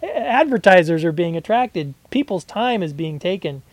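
Co-host in their late forties, casual rambling chat, dry close sound, mid pitch. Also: sing-song, moderate pace, dry recording. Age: 40s. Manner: rambling, hesitant, many fillers and restarts. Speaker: podcast co-host.

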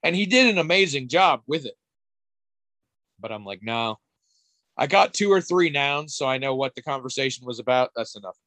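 A man's voice: medium pitch, lively intonation, moderate pace, pauses often, pushed and bright, no room sound. Middle-aged male co-host, candid and rambling, casual swearing, dry close recording. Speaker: podcast co-host. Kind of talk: casual chat.

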